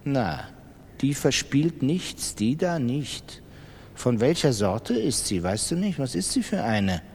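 A man voicing an imperious, excitable old grandmother who fires off impatient questions and commands in a literary reading. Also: medium pitch, slow pace, slight background noise. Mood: curious, shrewd. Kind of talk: dramatized literary audiobook reading. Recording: long reverberant hall.

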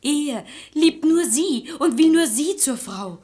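A highish-pitched, bossy maidservant in a classic radio comedy. She is brisk and resourceful, ordering people about with exasperated common sense. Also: expressive, dark tone. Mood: impatient, coaxing. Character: sharp-tongued, resourceful maidservant, bossy matchmaker of quarrelling lovers. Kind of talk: German radio play of classic verse comedy.

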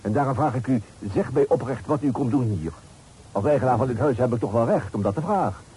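Actor as a nosy, mellow-voiced landlord informer. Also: male voice, mid-range voice, expressive, fast, close to an everyday voice. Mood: sly, insinuating. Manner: prying, slyly polite. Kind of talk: Dutch period radio drama acting. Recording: noisy old radio play recording.

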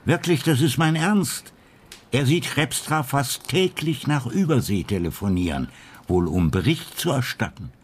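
An actor in a radio crime drama playing a wry detective husband who muses in a deliberate, playful manner. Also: male voice, mid-range voice, expressive, breathy edge. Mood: musing, earnest.

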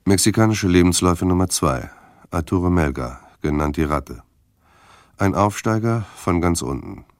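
A man's voice: low voice, expressive, normal speed, no room sound, clean studio sound. A man narrating a German radio crime drama in a sober, terse, matter-of-fact way. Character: sober noir male narrator of detective story.

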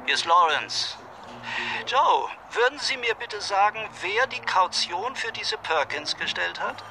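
An actor playing an officious, exasperated middle-aged district attorney in a radio crime drama, questioning in a formal, clipped way. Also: male voice, high-pitched, animated, a little slow, a crowd in the background.

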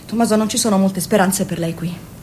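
A woman's voice: medium pitch, brisk, darkish tone, a little hiss or hum, close to an everyday voice. An actress playing a pushy, anxious mother of grown children in an Italian radio drama, nagging and insistent. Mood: pleading.

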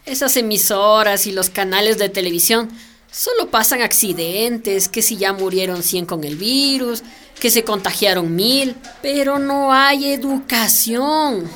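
A woman's voice: on the high side, unhurried, fairly bright tone. A woman playing an eager, polite eighteen-year-old youth activist in a radio drama. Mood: earnest. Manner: earnest, polite.

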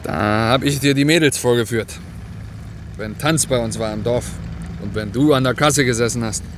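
An actor playing a talkative, teasing truck driver with a folksy manner in a radio play. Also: male voice, medium pitch, normal speed, close to an everyday voice. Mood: reminiscing. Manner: folksy, talkative, tags sentences with questions. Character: chatty, teasing truck driver and family man. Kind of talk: German radio play acting. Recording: clear vehicle and outdoor noise behind.

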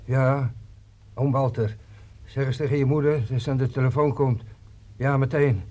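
An actor playing a shaken uncle who haltingly reports an accident on the phone in a radio play. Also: male voice, mid-range voice, fairly even intonation, darkish tone, close to an everyday voice. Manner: halting, stammering. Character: shaken man, uncle passing on bad news.

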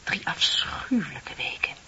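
An actress playing a heartbroken, ashamed young woman confessing in a radio play, dramatic and drifting into breathy near-whispers. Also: a little slow, bright, forceful tone. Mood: bitter, anguished. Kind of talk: Dutch radio play acting. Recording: muffled radio play recording with tape hiss.

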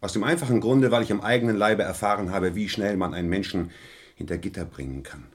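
Stubborn suspect in old radio mystery, lowish and husky, argues his point hard. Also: fast talker. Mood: stubborn, earnest. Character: earnest, stubborn, wary male murder suspect. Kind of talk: vintage German radio crime play acting.

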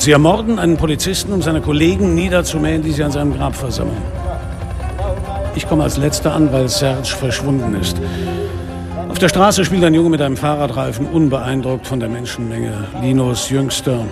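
An actor playing a weary, sardonic middle-aged police inspector, telling his story in a radio drama in a dry, measured, gravelly voice. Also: mid-range voice, natural voice. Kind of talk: first-person radio drama narration and dialogue.

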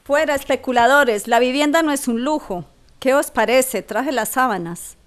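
A woman's voice: sits high, normal speed, close to an everyday voice. A woman from playing a helpful, practical neighbour in a scripted radio drama.

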